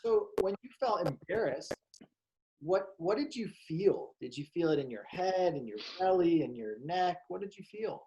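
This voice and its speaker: A man hosting a psychology show for kids, speaking in a slow, calm, encouraging way. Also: high voice, dull audio. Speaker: show host. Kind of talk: gentle talk to children.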